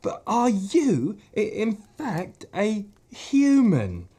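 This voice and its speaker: A man putting on a shy little faun, higher and louder than his everyday voice, tripping over his words with a courteous, anxious stammer. The tone stays dark and a bit husky, with lively rising inflections. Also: drawn-out words, heavily acted. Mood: flustered. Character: timid, stammering male faun, polite and nervous.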